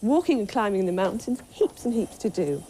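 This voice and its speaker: A woman in her thirties co-presenting a studio game show, cheerful and quick to giggle. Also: mid-range voice, very animated, mellow, slight background noise. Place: TV studio set. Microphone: lapel mic.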